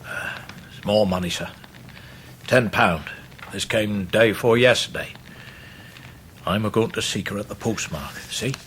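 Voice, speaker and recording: Radio drama role: devoted old East Anglian fisherman, rustic, earnest, lowish voice, noisy scene. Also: unhurried, long pauses, a bit bright. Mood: earnest, subdued. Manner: rustic dialect, plain-spoken and earnest. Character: humble, devoted old working-class fisherman searching for his niece. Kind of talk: full-cast radio drama acting.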